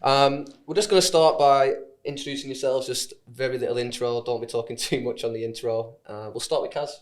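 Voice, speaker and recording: A man's voice: mid-range voice, steady tone, brisk. A man hosting a podcast in casual interview chat, with a teasing, bantering manner. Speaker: podcast host.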